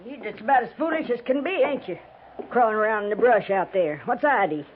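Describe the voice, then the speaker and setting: A woman's voice: on the high side, animated, brisk, noisy background, lightly acted. An actress playing a tough, stubborn frontier homesteader woman with a rural American accent and a blunt, folksy manner in a radio western. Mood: mocking, shrewd. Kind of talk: 1950s radio western acting. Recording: tinny, band-limited 1950s radio recording.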